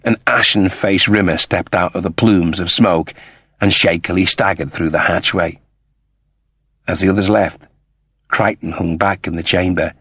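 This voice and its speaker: A male audiobook narrator with a lowish, husky voice reads scripted fiction. His delivery is measured and dramatic. The sound is dry and close. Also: a bit bright, dry recording.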